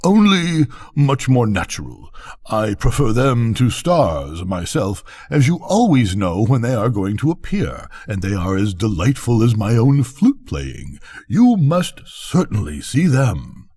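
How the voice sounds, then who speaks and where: A man's voice: mid-range voice, sing-song, measured pace, darkish tone, breathy edge. An older man voicing a vain, know-all king in a storybook, with a pompous delivery. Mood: self-satisfied.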